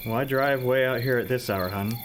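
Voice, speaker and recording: A man acts a steadfast, protective trooper in a radio drama. His voice is medium-pitched, earnest and insistent, with faint noise and insects behind it. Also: moderate pace, close to an everyday voice. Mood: reassuring. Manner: reassuring, insistent. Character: earnest, protective state trooper and reassuring sweetheart. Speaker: amateur student actor. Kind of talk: radio play acting.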